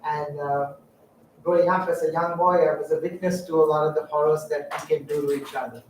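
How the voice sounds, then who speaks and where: A middle-aged man from Sri Lanka telling personal stories in a public talk, halting and reflective with frequent fillers. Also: a little high, steady tone, a little hiss or hum. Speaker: guest speaker. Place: lecture room. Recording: echoey room, distant mic.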